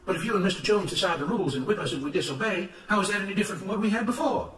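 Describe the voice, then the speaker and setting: A man's voice: sits high, expressive, very fast, a bit bright, reverberant, far from the mic, slight background noise, pitched above the performer's own voice, rough recording. A man voicing a young freed plantation worker in a storybook, speaking in a questioning, challenging way. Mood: doubtful, challenging. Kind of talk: dramatised storybook narration.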